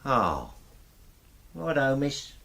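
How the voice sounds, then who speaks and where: Swooping, obliging manservant. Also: male voice, mid-range voice, huge pitch swings, drawn-out words, vocal fry, heavy background noise, full character voice. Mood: dutiful. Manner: polite, understated, obliging. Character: deferential, stoical male household servant Albert. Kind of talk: radio drama acting.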